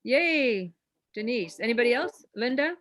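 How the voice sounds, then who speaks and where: A woman's voice: a little high, expressive, a little slow, a bit bright. A woman hosting a real estate class on a video call, coaching in a chatty, encouraging way that rambles with fillers. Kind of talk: informal coaching talk.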